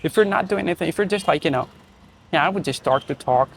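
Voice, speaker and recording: A man's voice: on the high side, fast talker, vocal fry, a bit bright, a little hiss or hum. A young man with an Indian accent, hosting his own channel and talking casually to camera, often checking in with "right?" and "you know". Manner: conversational, frequent "right?" and "you know" fillers. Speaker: channel host.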